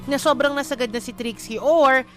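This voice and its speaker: Babaeng Pilipinang host, mataas ang boses, may musika. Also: female voice, sits high, normal speed. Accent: Filipino. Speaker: radio co-host giving relationship advice. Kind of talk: casual advice talk mixing Tagalog and English. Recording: music bed behind the voice. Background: background music.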